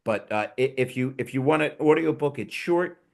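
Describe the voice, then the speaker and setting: Gravelly rambling man hosting a chat. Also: mid-range voice, animated, normal speed. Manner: rambling, enthusiastic storyteller with restarts. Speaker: podcast host. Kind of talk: casual panel chat.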